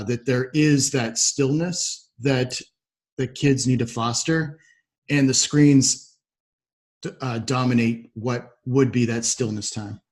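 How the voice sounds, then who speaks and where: A man speaking as the host of a podcast interview. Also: medium pitch.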